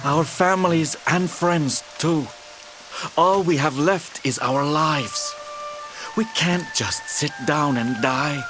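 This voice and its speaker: A man's voice: a little high, slow. An actor playing a weary, bereft but resolute refugee man in a video game, speaking heavily over music and rain. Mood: despairing, resolute.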